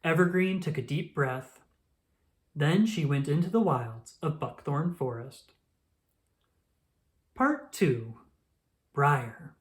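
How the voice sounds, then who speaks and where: Slow, very expressive woman storyteller. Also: low voice. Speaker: storyteller reading a children's book aloud. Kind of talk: expressive scripted narration for children.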